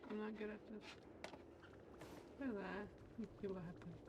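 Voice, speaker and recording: A woman's voice: medium pitch, steady tone, normal speed, noisy background. A young woman streaming a game for the first time, chatting casually and laughing at her own confusion. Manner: chatty, self-mocking, easily confused. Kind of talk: casual gameplay commentary. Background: game music.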